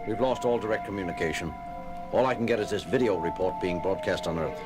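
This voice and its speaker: An actor playing a dutiful, tense moon base officer giving terse reports in a science-fiction audio drama. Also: male voice, deep voice, quick pace, natural voice. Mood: subdued, dutiful. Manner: urgent, terse technical reports. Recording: loud music bed behind.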